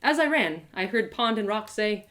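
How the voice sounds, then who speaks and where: A woman storyteller narrates a first-person tale in a live reading. Her pitch moves in wide, animated swings, and her delivery is dramatic and expressive. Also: moderate pace. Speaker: author and storyteller.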